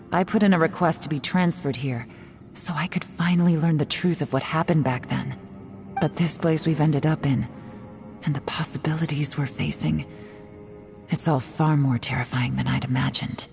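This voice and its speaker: Low, guarded secret agent. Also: female voice. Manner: low, measured and guarded, with heavy sighs. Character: secretive composed young woman agent. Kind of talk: video game voice acting.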